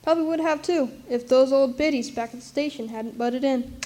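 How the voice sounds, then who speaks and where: A woman's voice: high-pitched, fairly quick, mellow, natural voice. A woman playing a plucky, streetwise orphan, telling the story in a folksy, wry way for a radio drama. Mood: fond, wry. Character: streetwise Brooklyn orphan child narrating with plucky frankness.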